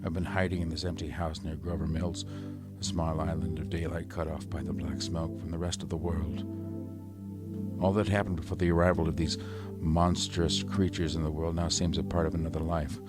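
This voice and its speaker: A man playing a haunted, lonely professor in a brooding, measured radio drama monologue. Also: extremely low pitch, flat delivery, over loud music. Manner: brooding, measured, searching questions. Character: haunted, lonely male professor, survivor writing notes.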